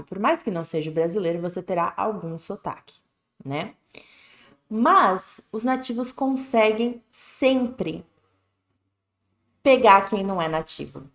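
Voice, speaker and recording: A woman with a Brazilian Portuguese accent, teaching an English lesson on a live stream in a casual, direct way, often checking in with "né?" and "tá bom?". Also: medium pitch. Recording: echoey room.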